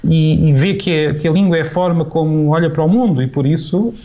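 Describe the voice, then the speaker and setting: A man's voice: fairly high pitch, fairly quick, warm tone, lo-fi sound. A man with a European Portuguese accent explains things conversationally as an interview guest, thoughtfully and often rephrasing mid-sentence. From Peniche, Portugal. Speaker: linguist and author, interview guest.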